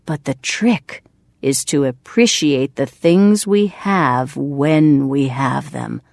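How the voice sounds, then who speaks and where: A woman reading the part of a kindly, philosophical man in a storybook, gently and reflectively. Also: rumbling low, takes it slowly, gravelly, no echo, clean studio sound, full character voice. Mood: reflective, gentle.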